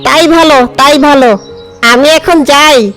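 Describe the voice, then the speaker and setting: Cartoon bird: actress as high, exclaiming crow with urgent news, drawn-out phrasing, light music underneath. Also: female voice, fairly bright tone, rough recording. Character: hurried crow bearing alarming news.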